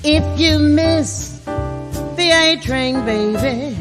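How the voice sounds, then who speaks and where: A woman's voice: high voice, drawn-out words. A woman playing a jazz singer, singing swing with a band. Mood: upbeat. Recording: loud band music behind.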